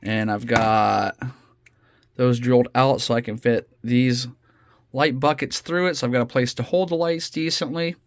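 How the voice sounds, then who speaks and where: A man's voice: mid-range voice, measured pace, no room sound, studio quality. Nasal man with a head cold. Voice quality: slightly nasal. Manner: relaxed, chatty, with fillers and restarts. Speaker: RC hobby channel host. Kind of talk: casual how-to build commentary. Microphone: phone camera.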